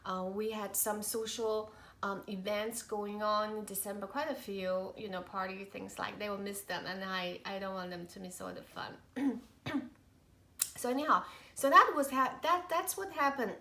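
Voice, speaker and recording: A woman in her thirties, a mother of three, chatting casually to camera in a halting, rambling way, her voice nasal from a cold. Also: medium pitch, pushed and bright, slight background noise. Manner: rambling, halting, many fillers and restarts. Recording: echoey room.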